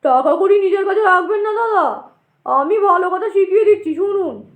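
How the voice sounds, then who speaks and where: A woman's voice: extremely high pitch, animated, moderate pace, echoey room, voice pushed up. A woman voicing a stout, talkative, sceptical male station master in an audio drama, blustery and full of exclamations. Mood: confiding, emphatic. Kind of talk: dramatized audio story dialogue.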